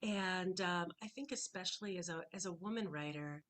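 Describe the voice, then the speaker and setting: Woman poet, native Hebrew speaker, lowish voice, measured reading with hesitant asides, on a video call. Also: a bit bright. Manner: thoughtful, hesitant between poems, slow and deliberate when reading. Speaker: poet reading her work. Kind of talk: poetry reading with commentary. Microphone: computer microphone on a video call.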